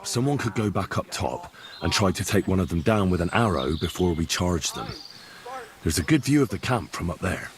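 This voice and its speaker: An actor playing an eager, obedient young squire in a medieval video game. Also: male voice, deep voice, expressive, normal speed, noisy background. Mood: earnest. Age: young adult. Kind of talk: video game cutscene acting.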